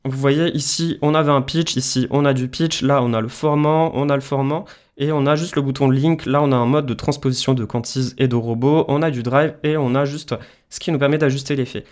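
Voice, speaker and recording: A man's voice: medium pitch, steady tone, a little fast, darkish tone. A man presenting a music production tutorial, explaining things informally, step by step. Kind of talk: casual screen-share software tutorial.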